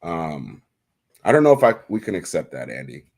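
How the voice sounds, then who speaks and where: Guy with a super low voice running a livestream, joking around on a desk mic. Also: very animated, moderate pace, darkish tone, husky. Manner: chatty, laughs often, loose and joking. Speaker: livestream host. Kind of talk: casual livestream chat. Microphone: desk microphone.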